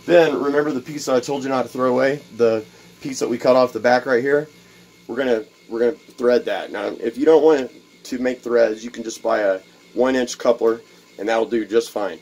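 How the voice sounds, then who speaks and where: A man's voice: medium pitch, steady tone, normal speed, a little mellow, rough recording. Rambling man explaining a workshop build. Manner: rambling, repeats words, many fillers. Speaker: DIY video host. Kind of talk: casual workshop how-to demonstration. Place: cluttered home workshop at a workbench.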